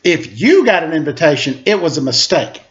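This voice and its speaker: A man's voice: a little high, very animated, brisk, a bit bright. A bossy, scornful little big sister from a storybook, acted out by a man. The delivery swings up and down as she scolds and complains, and the recording is a little dull. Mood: dismissive.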